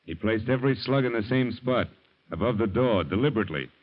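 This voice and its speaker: An actor playing a tough ex-police detective who talks in blunt, hard-boiled slang, in 1940s radio acting. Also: male voice, medium pitch, fast talker, close to an everyday voice. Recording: muffled 1940s radio broadcast.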